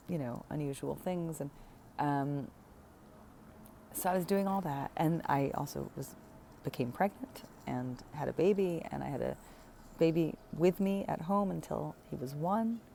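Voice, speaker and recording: A woman's voice: low-pitched, measured pace, vocal fry, warm tone, a little hiss or hum. A woman in her thirties, chatting casually in a personal vlog, rambling with fillers, self-corrections and light laughs. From United States. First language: English. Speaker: vlogger.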